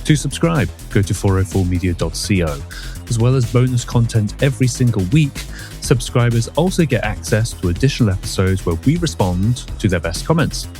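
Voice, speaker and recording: A man hosting a podcast in a casual chat, his voice hoarse after a poor night's sleep, brisk and upbeat as he reads the intro and steers the talk. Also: lowish voice, animated, a little mellow, soft background music. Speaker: podcast host.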